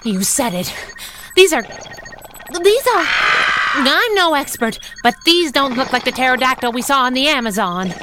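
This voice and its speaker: A fearless young female sidekick from a 1930s radio adventure, played by a woman, spirited and quick with a wisecrack. Her voice is fairly high and very animated, over clearly audible background noise. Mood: astonished. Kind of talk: 1930s radio serial style acting. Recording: clearly noisy background ambience.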